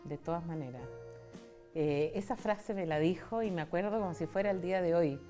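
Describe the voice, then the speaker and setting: Interview guest: Chilean woman in her 60s, slightly low voice, candid personal storyteller. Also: brisk, dark tone, faint music behind. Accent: Chilean Spanish. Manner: candid, conversational, retells dialogue. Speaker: interview guest, foundation founder.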